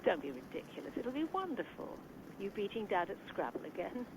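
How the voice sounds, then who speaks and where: An actress in a radio drama playing a loving mother who speaks gently and anxiously. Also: mid-range voice, very animated, brisk, background noise. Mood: worried. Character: worried, loving older mother. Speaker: mother on the phone.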